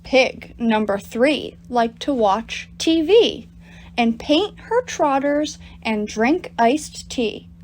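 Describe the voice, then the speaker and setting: A woman reading picture books aloud to children as a storytime reader, in an animated, deliberate storytelling manner. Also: sits high, slight background noise. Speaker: storytime reader at a public library. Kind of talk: expressive picture-book read-aloud for children.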